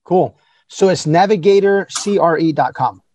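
A man's voice: a little high, darkish tone, dry recording. A man in his forties hosting an interview, chatty and enthusiastic in a casual conversation. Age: 40s. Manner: enthusiastic, chatty, quick-talking. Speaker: podcast host.

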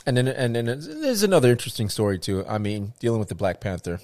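A man's voice: mid-range voice, very animated, fast, darkish tone, dry recording. A man talking in casual chat as a show host, rambling with many restarts and fillers.

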